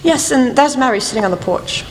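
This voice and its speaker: A woman acting in an amateur radio play as a shrewd lady detective, speaking in a measured, frank and formal way. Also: high-pitched, lively intonation. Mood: composed, serious. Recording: echoey hall with faint noise.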